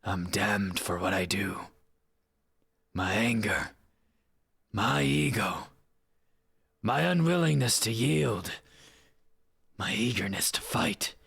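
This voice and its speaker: Man playing embittered rebel, very husky, slow deliberate delivery, dramatic monologue, dry close sound. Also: lowish voice, animated, a bit bright, no echo, full character voice. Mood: sorrowful, resigned. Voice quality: raspy. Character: weary, bitter rebel who sacrificed everything for the cause.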